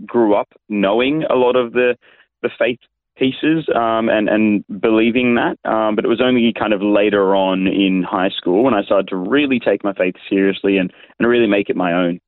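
Over a narrow, phone-like connection, an Australian man talks in a fairly low voice. He is an interview guest, a pastor recounting his experiences conversationally, with little room echo. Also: fairly even intonation, moderate pace. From Sydney, Australia. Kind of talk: casual conversational storytelling. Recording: tinny narrow phone-like line.

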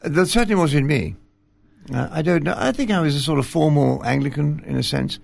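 Older clergyman guest, heavy vocal fry, gentle and self-deprecating, studio interview. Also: on the high side, fairly quick. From Scotland. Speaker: retired bishop, guest. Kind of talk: relaxed interview conversation. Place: television studio.

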